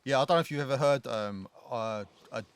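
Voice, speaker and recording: Fast-talking London man. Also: mid-range voice, smooth. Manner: rambling, swears freely, many fillers and restarts. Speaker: podcast co-host. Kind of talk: casual film discussion. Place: living in Ireland.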